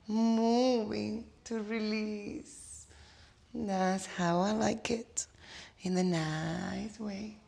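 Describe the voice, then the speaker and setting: Slow, gravelly-voiced Cuban yoga teacher. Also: female voice, mid-range voice. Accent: Cuban Spanish. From Cuba. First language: Spanish. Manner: slow, soothing cues with playful asides. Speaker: yoga instructor. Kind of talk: guided yoga class cues.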